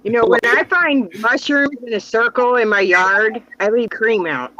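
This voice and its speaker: A man with a high, bright voice chimes into a casual chat with short, matter-of-fact comments. The recording is dry and somewhat muffled. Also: normal speed, no echo. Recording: dull dry lo-fi sound.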